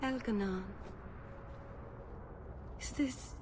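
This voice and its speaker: A woman playing a shy, gentle young elf mage in a video game, earnest and hesitant. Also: a little high, extremely slow, noisy background. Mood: daunted. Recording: loud music behind.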